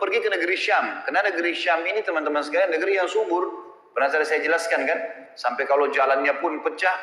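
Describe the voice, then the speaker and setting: Casting: male lecturer, sharp higher-pitched voice, informal didactic storyteller, recorded in a reverberant mosque hall. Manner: didactic storytelling with check-in tags and repeated phrases. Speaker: Islamic preacher. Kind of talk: informal religious history lecture to a congregation.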